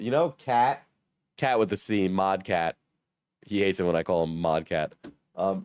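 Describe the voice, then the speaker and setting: A hoarse young man with a cold, joking and swearing casually as he reads his chat on a livestream. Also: fairly low pitch, darkish tone, no room sound. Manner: joking, self-mocking, swears. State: hoarse, sick. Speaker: streamer reading chat.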